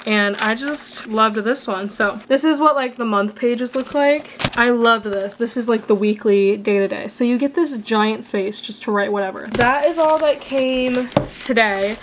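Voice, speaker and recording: A woman's voice: fairly high pitch, unhurried, background noise. Chatty young woman vlogging casually. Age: young adult. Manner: chatty, bubbly, rambling, with frequent "like". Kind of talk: casual vlog chat.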